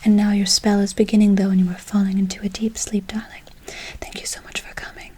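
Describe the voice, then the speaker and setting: A woman playing a gentle woodland witch in a whispered ASMR role play, soothing and affectionate, repeating and lingering on her words. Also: medium pitch, little pitch movement, quick pace, close to an everyday voice. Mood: tender, grateful. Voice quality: soft, breathy whisper. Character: gentle, affectionate woodland witch casting a good luck spell.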